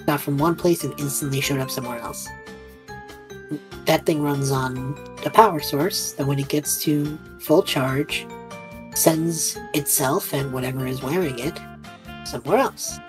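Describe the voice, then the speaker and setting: A woman playing a tabletop roleplaying game, speaking casually and haltingly in character. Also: deep voice, music in the background.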